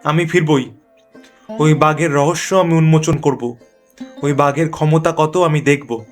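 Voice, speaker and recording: A man's voice: fairly high pitch, fairly even intonation, unhurried, soft background music, close to an everyday voice. A man voicing a fearless, stubborn young village man in a dramatic cartoon, speaking in resolute declarations. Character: determined, fearless young village man hunting a ghostly tiger.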